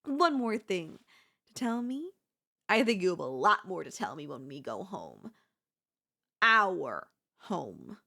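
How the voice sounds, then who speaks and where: A woman's voice: fairly low pitch, big pitch swings, slow, creaky, pauses often, pushed and bright, heavily acted. A woman playing an obsessive, scheming yandere in a theatrical, haughty manner with formal phrasing, in an ASMR audio roleplay. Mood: menacing, suspicious.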